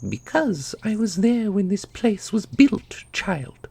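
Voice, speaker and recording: A woman's voice: mid-range voice, sing-song, slow, darkish tone, slightly rough, dry recording, exaggerated voice. A woman playing an ancient, secretive divine custodian in a fantasy audio roleplay, knowing, theatrical and condescending. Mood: solemn, secretive.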